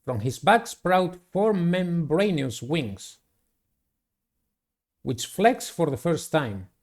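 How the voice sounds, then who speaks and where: A man's voice: on the high side, very animated, slow. A man reading a visual novel aloud as a playthrough, with dramatic, theatrical storytelling. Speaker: game player reading aloud.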